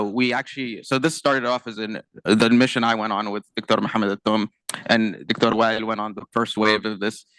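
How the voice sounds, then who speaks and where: A young man with a bright, smooth voice and low pitch, talking through his experience on a panel. He speaks casually, full of fillers. The sound is dry and close. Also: no room sound, rough recording. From United States. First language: not Arabic. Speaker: panelist, internal medicine physician. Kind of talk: conference panel talk.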